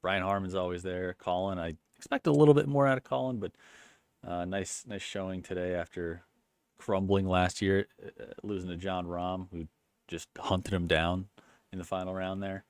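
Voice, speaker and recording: Low monotone man recapping golf. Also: normal speed, smooth, no room sound. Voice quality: monotone, low-energy delivery. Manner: rambling, many fillers and restarts. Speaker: golf channel host. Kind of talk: casual commentary recap.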